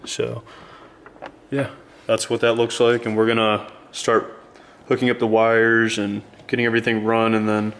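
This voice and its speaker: A man's voice: lowish voice, completely flat delivery, normal speed, a bit bright, slight background noise. A man hosting a casual how-to vlog, explaining his work in an easygoing, chatty way while working in a garage. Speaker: host of a car build channel. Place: garage, inside a vehicle.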